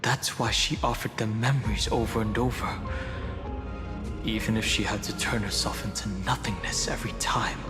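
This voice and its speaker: A man playing a grave, thoughtful guide in a fantasy game, explaining in a measured, solemn way. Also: lowish voice, natural voice. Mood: reflective. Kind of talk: dramatic game exposition. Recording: long reverb over music.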